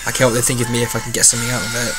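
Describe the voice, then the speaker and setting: Bunged-up guy in his 20s, heavy breathing, rambly and sweary, pretty monotone, loud music playing under him. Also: medium pitch, rapid-fire. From England. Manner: rambling, blunt, swears freely. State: congested with a cold, breathing heavily. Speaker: vlogger answering viewer questions. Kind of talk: rambling casual monologue.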